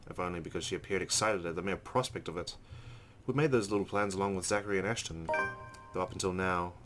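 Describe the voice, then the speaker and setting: A man's voice: low voice, a bit bright, slight background noise. A man hosting a let's play, reading a visual novel's narration aloud with casual asides.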